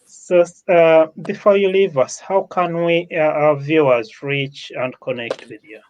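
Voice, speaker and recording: A man with a Ugandan accent and a fairly high-pitched voice addresses an online summit. He speaks without a script, leaning on repetition and emphasis to make his points. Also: steady tone, measured pace, darkish tone, highs cut off.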